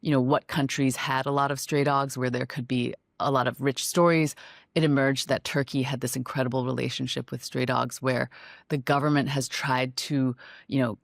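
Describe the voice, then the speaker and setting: A woman from Hong Kong, a documentary filmmaker, answering interview questions in a thoughtful, measured way. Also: very deep voice, flat delivery, gravelly, dry recording, clean studio sound. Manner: thoughtful, measured, frequent "you know".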